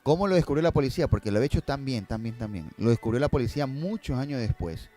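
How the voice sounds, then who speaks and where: A man's voice: medium pitch, expressive, very fast, mellow. A man speaking as a guest filmmaker in casual conversation, explaining and telling stories, often repeating phrases for emphasis.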